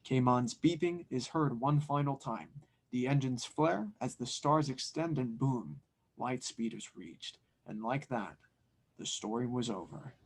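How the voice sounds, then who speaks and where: A man's voice: medium pitch, darkish tone, slightly rough. A man who narrates and directs an amateur audio drama table read.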